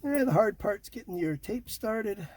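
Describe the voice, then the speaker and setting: A man's voice: sits high, expressive, unhurried, a little mellow, a little hiss or hum. An older man giving a casual hands-on install demonstration in short, folksy, punchy phrases, recorded inside a vehicle. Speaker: DIY vlog host. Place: inside a parked Jeep.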